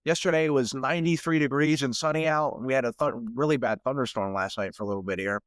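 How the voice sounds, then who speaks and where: A young man hosts his own sports podcast, his voice stuffy from blocked sinuses. He talks at a middling pitch in a loose, rambling way, stumbling over repeated words as he restarts his thoughts. The sound is dry and close, with no room around it. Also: quick pace, dry recording. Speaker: podcast host. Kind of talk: solo sports podcast talk.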